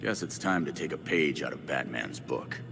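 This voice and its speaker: A man's voice: very deep voice, lively intonation, moderate pace, gravelly, exaggerated voice. A man playing a hot-headed, blunt young vigilante hero in a video game cutscene. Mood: brooding. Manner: blunt, intense. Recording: long reverb, music behind.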